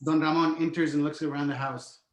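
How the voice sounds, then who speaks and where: A man's voice: a little high, level tone, a little fast. Male script narrator, roomy echo. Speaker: narrator reading stage directions. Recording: roomy, echoing sound.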